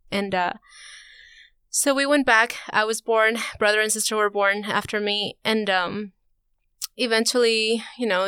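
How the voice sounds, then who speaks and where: A woman's voice: medium pitch, a bit bright, smooth, no echo, clean studio sound. A young woman from Venezuela, a college student answering interview questions in a chatty way, peppered with "you know" and "like". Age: young adult. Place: studio.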